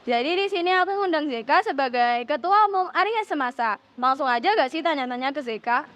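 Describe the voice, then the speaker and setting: A woman's voice: high-pitched, expressive, a little fast, a bit bright. A teenage young woman, hosting a casual interview in chatty, slangy speech and addressing viewers. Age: teens. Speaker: student podcast host. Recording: dull lo-fi audio.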